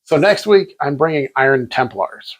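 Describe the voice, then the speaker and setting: A man's voice: on the high side, lively intonation, moderate pace, rough recording. A man co-hosting a casual post-game chat, easygoing and agreeable, often answering in short replies. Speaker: co-host.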